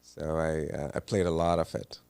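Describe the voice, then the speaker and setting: Very low gravelly man, halting speech. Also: flat delivery, a little mellow, no echo. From Pakistan. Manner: hesitant, many ums and uhs. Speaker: cardiologist guest. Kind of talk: relaxed interview answers.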